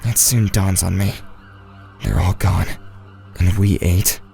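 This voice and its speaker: A man's voice: sits low, fairly even intonation, slow, gravelly, mellow, faint music behind, exaggerated voice. A man playing an anxious, shy teenage boy who narrates his own story in an audio drama. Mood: grave. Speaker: narrator and lead actor.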